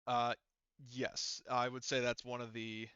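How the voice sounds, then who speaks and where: Bright, clear-voiced man running a game. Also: medium pitch, completely flat delivery, measured pace, dry recording, dull audio. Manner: hesitant, thinking aloud. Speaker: game master. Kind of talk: casual improvised game-mastering for a tabletop roleplaying game.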